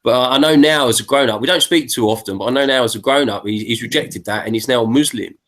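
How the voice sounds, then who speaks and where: A man's voice: mid-range voice, fast, fairly bright tone. A middle-aged man hosting a casual online hangout, talking earnestly and repeating words as he gathers his thoughts. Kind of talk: casual group chat.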